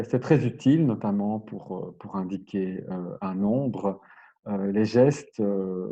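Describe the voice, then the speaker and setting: A man giving an explanatory language lesson as a teacher, in a measured, hesitant way, searching for his words. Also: fairly low pitch, warm tone, breathy edge, lo-fi sound. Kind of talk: explanatory lesson, semi-improvised.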